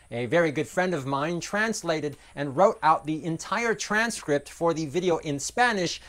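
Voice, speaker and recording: Casting: man, 30s, slightly high voice, emphatic critical commentator reading to camera. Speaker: commentary vlogger. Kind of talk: scripted commentary. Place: studio green screen.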